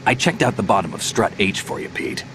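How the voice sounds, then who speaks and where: A man's voice: on the low side, expressive, fairly quick, noisy background, natural voice. An actor playing a seasoned male soldier in a video game cutscene, terse and matter-of-fact. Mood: brisk, tactical. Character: seasoned male soldier, gruff, calm, dependable. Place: recording studio. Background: game score music.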